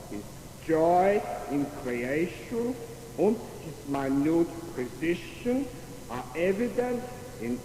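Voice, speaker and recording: An admiring learned orator in an audio biography. He speaks very slowly and emphatically in a fairly high, dark voice, ringing with echo. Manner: slow, emphatic, oratorical pauses. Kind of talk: dramatised quotation in audio biography. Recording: echoey hall, faint rumbling noise.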